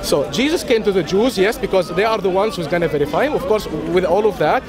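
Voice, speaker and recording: A man whose first language is Arabic, arguing in a lively street debate in a park. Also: high-pitched, fast, over music. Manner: animated, interjecting. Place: city park outdoors, crowd around. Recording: outdoor crowd noise.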